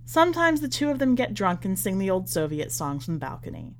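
A woman reading in a measured, expressive way, as a podcast host presenting and narrating short fiction. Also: mid-range voice, slight background noise. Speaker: podcast host and story reader.

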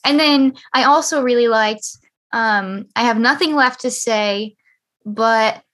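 A young woman with a high-pitched voice chatting casually on a podcast, talkative and emphatic, swearing freely. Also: takes it slowly, fairly bright tone.

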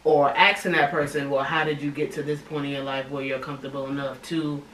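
A man's voice: fairly high pitch, little pitch movement, fairly quick, a bit bright, a little hiss or hum. A man co-hosting a casual podcast chat, speaking earnestly and with strong opinions, often repeating his phrases. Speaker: podcast co-host. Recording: roomy sound from a distant mic.

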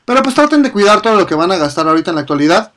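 Young mexican dude on a podcast, kinda high voice, slangy and crude, cracking jokes at home. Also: male voice, big pitch swings, racing, fairly bright tone. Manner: joking, heavy slang and swearing. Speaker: podcast co-host. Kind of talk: casual crude banter. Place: friend's house.

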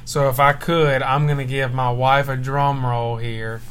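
Young male co-host, teasing and jokey, mid-pitched, faint hiss at home. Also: little pitch movement, unhurried, fairly bright tone. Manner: joking, teasing, laughs often. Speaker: podcast co-host and church minister. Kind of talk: casual chat with his wife. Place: home at night. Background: faint baby monitor hiss.

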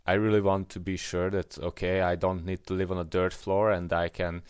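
A young man with a Hungarian accent talks through his thoughts in a relaxed podcast conversation, often pausing and restarting. His voice sits fairly low and sounds smooth and clear. The recording is dry and close. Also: dry recording. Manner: reflective, halting with restarts. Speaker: podcast co-host. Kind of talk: casual conversation.

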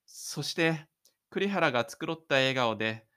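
Male actor as lonely, stubborn teenage schoolboy; higher-set voice, brooding, self-pitying audio-drama monologue. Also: lively intonation, a little slow, pushed and bright, clear, resonant tone. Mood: bitter, miserable.

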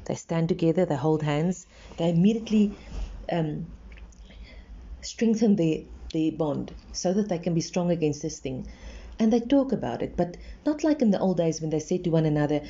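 An earnest woman gives relationship advice in a reassuring tone, repeating her points for emphasis. Her voice is somewhat low and very dark and mellow. The recording is slightly muffled and lo-fi. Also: expressive, moderate pace. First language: Afrikaans. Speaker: relationship advisor. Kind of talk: advice monologue.

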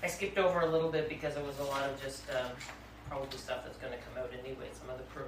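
A woman's voice: rumbling low, flat delivery, very fast. Woman writer chatting with her group, jokey, sounds far from the mic in a roomy space. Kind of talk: casual group chat. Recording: noisy echoey room, distant mic.

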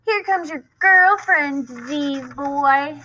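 A woman voicing a bullying schoolboy who shouts jeering taunts in a raised voice. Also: high-pitched, takes it slowly, pitched above the performer's own voice, full character voice. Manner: loud, jeering.

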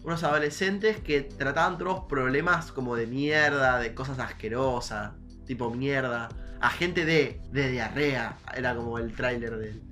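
A young man speaks with a Rioplatense Argentine accent in a bright, sharp-edged voice at a middle pitch. He co-hosts a casual podcast in a slangy, teasing manner, with faint music behind him. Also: normal speed, hardly any pauses. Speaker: podcast co-host.

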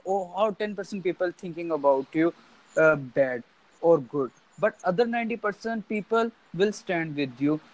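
Halting young man, high voice. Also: rough recording. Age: 20s. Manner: halting, many restarts and fillers. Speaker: conversation partner. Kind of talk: casual chat.

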